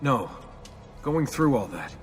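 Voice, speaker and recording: An earnest young recruit in a video game. His voice is mid-pitched and casual, slightly unsure. It rings with reverb over faint music. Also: very animated, slow. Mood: apologetic, troubled. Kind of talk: video game cutscene acting.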